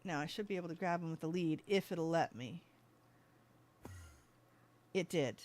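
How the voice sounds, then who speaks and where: Gaming streamer, medium pitch, casual play commentary, thinking aloud, chatting to in-game animals. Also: moderate pace, a little mellow. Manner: talks to game animals and self.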